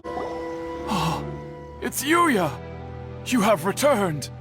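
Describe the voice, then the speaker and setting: Game smithy elder, husky, very slow, reflective, kindly, slightly high voice, faint music. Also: male voice, sing-song, exaggerated voice. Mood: relieved, glad. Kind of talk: video game voice acting.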